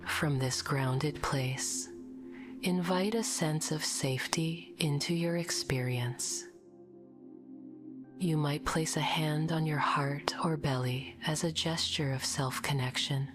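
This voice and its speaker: Low soft breathy lady doing a guided meditation, super slow n calm, kinda whispery. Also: music in the background. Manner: gentle, calm, soothing delivery. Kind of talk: scripted guided meditation narration.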